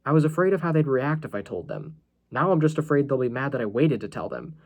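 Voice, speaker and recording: A man's voice: mid-range voice, big pitch swings, fast, a little mellow. Man acting an anxious, defiant schoolboy. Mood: worried. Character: anxious schoolboy grandson, frightened yet defiant.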